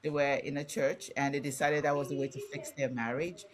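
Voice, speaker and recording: A woman's voice: extremely low pitch, steady tone, fairly quick. A middle-aged woman from Nigeria with a Nigerian accent, speaking candidly as a guest author and explaining things in a conversational way. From Ibadan, Nigeria. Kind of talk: conversational interview.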